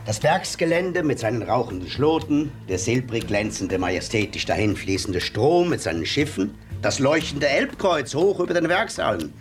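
A suave, quietly menacing Swiss visitor played by a man speaks with a lively, theatrical lilt. He sounds set back from the mic in a radio play, with faint music behind him. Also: medium pitch, moderate pace, hardly any pauses, far from the mic, lightly acted. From Switzerland. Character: suave male Swiss visitor making an offer.

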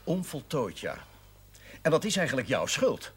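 An actor playing a jovial middle-aged parish priest, hearty and self-mocking, in a 1970s television drama. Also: male voice, medium pitch, very animated, moderate pace, fairly bright tone, breathy edge, full character voice. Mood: warm.

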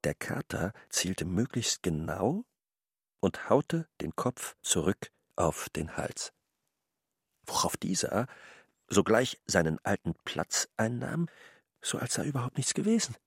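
A man narrating a literary radio drama in a deliberate, suspenseful manner. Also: fairly low pitch, big pitch swings, creaky, breathy edge, no echo, clean studio sound, full character voice.

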